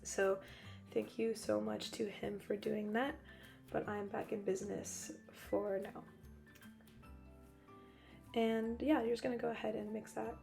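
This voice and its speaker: A young woman with a hoarse voice, still recovering from losing it, narrating a cooking video in a chatty, joking way with frequent laughs. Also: mid-range voice, flat delivery, measured pace, long pauses, darkish tone, soft background music. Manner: chatty, joking, laughs often, rambling asides. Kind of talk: casual voice-over narration.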